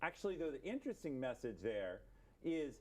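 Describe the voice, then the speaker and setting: A middle-aged man in a casual discussion on a stream, explaining things in a measured way. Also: on the high side, big pitch swings. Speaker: livestreamer with a career advice show. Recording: loud gunfire and game noise. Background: video game gunfire and artillery.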